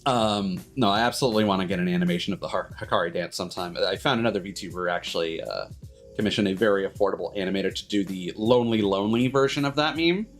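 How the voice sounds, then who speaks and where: A man hosting a livestream in casual chat, rambling and haltingly backtracking mid-sentence. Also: sits low. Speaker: streamer host. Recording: music playing behind the voice. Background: background music.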